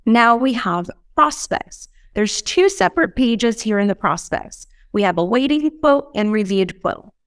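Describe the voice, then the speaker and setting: A woman in her thirties explaining software step by step as a trainer, often checking in with short tag questions. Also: mid-range voice, animated, a little slow, hardly any pauses, no room sound. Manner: explanatory, checks in with "right?" and "okay?". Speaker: software trainer in customer support. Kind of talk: instructional screen-share walkthrough. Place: indoor room.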